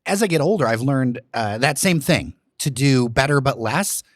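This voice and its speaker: A man's voice: mid-range voice, animated, moderate pace, dry recording, studio quality. A man hosting a podcast, chatty and full of fillers in a casual interview. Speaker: podcast host.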